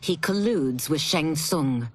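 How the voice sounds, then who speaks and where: A woman playing a grave former servant of a sorcerer in a video game, in a breathy, hushed voice. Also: low voice, lively intonation, slow pace, slight background noise. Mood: grave, wary. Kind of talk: video game cutscene acting.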